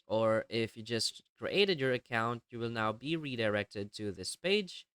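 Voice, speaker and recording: Man, smooth low voice, dry close recording, software tutorial voice-over, instructional delivery. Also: lively intonation, measured pace, no echo. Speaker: tutorial presenter.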